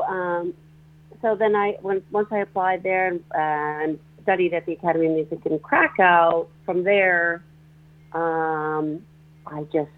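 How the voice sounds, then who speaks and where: A composer and performer from northeastern Pennsylvania, answering interview questions on the radio, talking in a halting way with many restarts. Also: medium pitch. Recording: tinny phone line.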